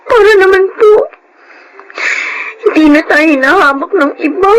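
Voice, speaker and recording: A woman's voice: very high-pitched, measured pace, fairly bright tone, no echo. An actress playing a poor, hurt young woman in a plaintive, emotional way in a Filipino radio drama. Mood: tearful, wounded.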